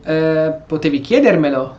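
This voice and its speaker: A man's voice: a little high, animated, moderate pace, darkish tone, highs cut off. An Italian man giving casual gameplay commentary, informal and sweary, reacting and replying to the game. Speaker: gaming streamer. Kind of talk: casual Let's Play commentary with role-played replies. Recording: roomy echo, clear background noise.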